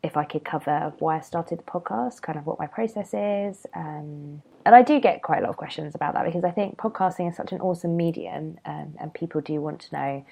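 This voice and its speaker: A woman's voice: low voice, creaky, mellow. A young British woman chatting casually and informally as a solo podcast host, rambling a little, nasal and congested with a cold. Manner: rambling, informal, self-deprecating.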